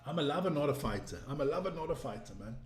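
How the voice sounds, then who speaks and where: A man's voice: on the high side, lively intonation, very fast, roomy sound, faint music behind. A man with a South African English accent hosting a casual live stream, rambling through sports stats and reading out viewer comments. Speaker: livestream host.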